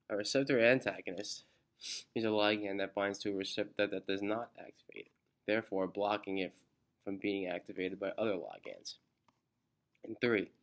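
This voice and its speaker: A congested man reading a study outline aloud, halting and restarting on technical words. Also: fairly low pitch. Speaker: student presenter.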